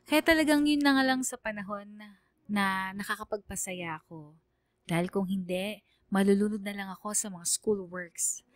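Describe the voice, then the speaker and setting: A woman's voice: medium pitch, normal speed, dry recording, studio quality, lightly acted. A woman voicing an anxious young Filipino student narrating his story in a radio drama. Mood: anxious, reflective.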